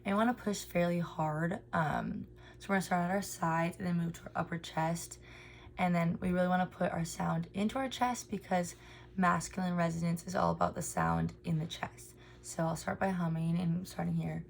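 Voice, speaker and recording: Gravelly low-voiced young woman explaining. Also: lively intonation, normal speed, a little hiss or hum. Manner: frequent ums, earnest explanatory delivery. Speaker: speech therapy student presenter. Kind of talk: informal instructional presentation.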